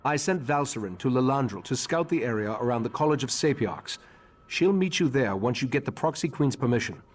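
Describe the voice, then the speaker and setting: An actor playing a grave, remorseful elven mage leader in a fantasy game, speaking formally with measured, slightly husky delivery. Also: male voice, mid-range voice, animated, close to an everyday voice. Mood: measured, informative. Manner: measured, formal, solemn exposition. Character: grave elderly male high elf mage leader, remorseful and scholarly.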